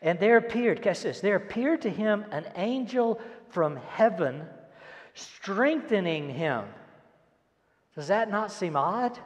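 An older man preaches a sermon and reads scripture with dramatic, emphatic delivery and deliberate pauses. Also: high-pitched, lively intonation, highs cut off. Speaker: pastor. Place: large church auditorium stage, indoors. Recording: echoey hall, long reverb.

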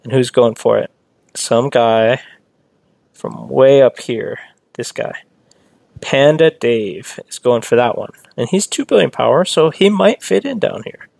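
A man's voice: mid-range voice, animated, measured pace, vocal fry, darkish tone, no room sound. A man live-streaming a mobile strategy game, chatting casually and answering viewers in chat. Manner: chatty, reads and answers chat, rambling asides.

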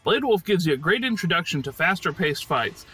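An enthusiastic man delivers scripted game commentary in a bright, fairly high voice. He is jokey and emphatic, with very lively intonation. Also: a little fast, breathy edge, soft background music.

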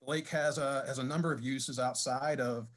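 A man giving a technical presentation at a conference, speaking haltingly with many fillers and restarts. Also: medium pitch, fairly even intonation, fairly bright tone. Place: conference session room.

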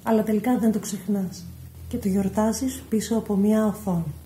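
Very mellow woman in a roomy space. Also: medium pitch, steady tone, a little hiss or hum. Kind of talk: scripted spoken message, reflective text. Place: small indoor room at home. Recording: roomy home phone recording.

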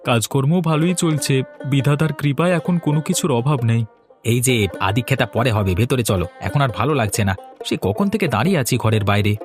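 A man's voice: medium pitch, lively intonation, fast, soft background music, close to an everyday voice. A man voicing a greedy young son-in-law in a cartoon, grumbling and sarcastic. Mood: polite.

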